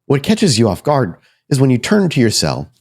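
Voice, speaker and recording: A man working as game master, improvising suspenseful, deliberate narration for a horror tabletop roleplaying game. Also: medium pitch, huge pitch swings, darkish tone. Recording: music behind the voice. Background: background music.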